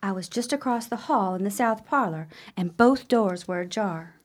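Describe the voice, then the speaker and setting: Actress for gossipy spinster sister, gothic radio drama; middle register, formal, measured and dramatic. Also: female voice, medium pitch, lightly acted. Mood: grave, confiding. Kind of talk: old-fashioned radio play acting.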